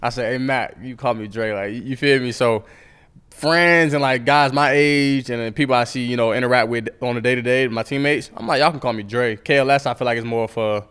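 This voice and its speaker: A young man in his twenties chatting easily as a guest on a sports podcast. His voice is smooth and resonant, with a loose, slangy delivery and an easy laugh. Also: mid-range voice, brisk, fairly bright tone. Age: 20s. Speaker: guest football player. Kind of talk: casual interview chat.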